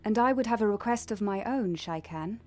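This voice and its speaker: A woman playing a calm, mysterious heroine in a fantasy video game. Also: medium pitch, a little fast, soft background music, natural voice. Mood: resolute. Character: calm, mysterious woman seeking her true origins.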